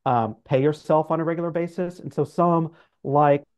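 A man's voice: fairly high pitch, fairly even intonation, normal speed, a little mellow. A middle-aged man explaining things as an interview guest in an informal, conversational way with many loose qualifiers. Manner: informal, hedging with like and sort of. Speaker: guest, financial adviser. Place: office room, indoors. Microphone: video call. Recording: dull video-call audio.

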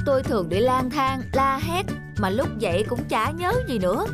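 A woman's voice: high-pitched, expressive, normal speed, over music. A woman voicing a friendly, oblivious middle-aged man in a cartoon, speaking with cheerful earnestness. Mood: cheerful, earnest. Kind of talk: cartoon dub.

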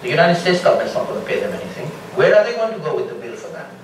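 A middle-aged man from Bangladesh answers questions as a panelist in a large hall, steady and explanatory. He sounds set back from the mic, with heavy room echo and a faint hiss underneath. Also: fairly high pitch. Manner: measured, explanatory, builds points with examples. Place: large lecture hall with audience. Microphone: room mic from a distance. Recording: echoey hall from far off.

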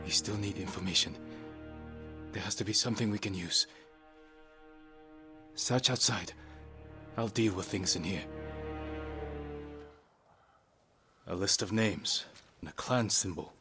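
Earnest samurai guy in his thirties, done by an actor, calm n solemn game dialogue, lowish voice, music behind. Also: moderate pace, pauses often, close to an everyday voice. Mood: resolute. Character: earnest, composed young samurai lord. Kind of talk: dramatic video game acting.

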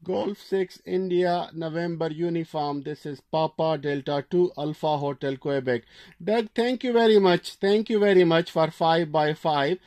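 A man with a high voice talks as an amateur radio operator making a contact. He repeats his phrases and call signs as he goes, speaking from a desk indoors. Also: a little slow, a little mellow. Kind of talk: ham radio contact exchange.

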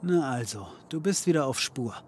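An actor playing a cocky, scheming occult detective in a comedy audio drama, wry and theatrical. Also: male voice, mid-range voice, expressive, moderate pace, husky. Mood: sardonic, patronising. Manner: wry, theatrical, mock-patronising.

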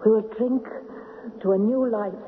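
A woman's voice: medium pitch, steady tone, normal speed, slight background noise, close to an everyday voice. Actress as an earnest young woman, thin radio sound. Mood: tender pleading. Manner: earnest, dramatic. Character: young woman dreamer and tender, pleading royal lover. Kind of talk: 1970s radio drama narration and acting. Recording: very dull, phone-like old radio recording.

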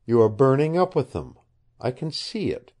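A man's voice: medium pitch, big pitch swings, moderate pace, voice pushed up. A man voicing a tired, secretive young woman. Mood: weary pleading. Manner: soft, tired, pleading.

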